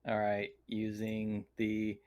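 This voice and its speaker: A man chatting casually as a player in a tabletop game, halting and stammering as he thinks aloud. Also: on the low side, completely flat delivery, a little mellow.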